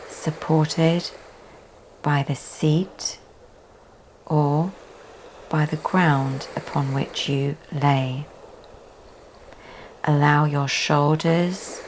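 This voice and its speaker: A woman teaching yoga leads a guided relaxation meditation in a soft, often breathy delivery with long pauses. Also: low-pitched, rough recording. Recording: faint background noise.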